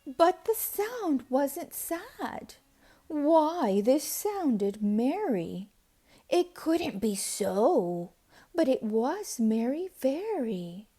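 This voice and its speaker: A woman narrating a children's storybook aloud in an expressive, dramatic way, at times hushed to a whisper. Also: high voice, drawn-out words. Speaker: storybook reader.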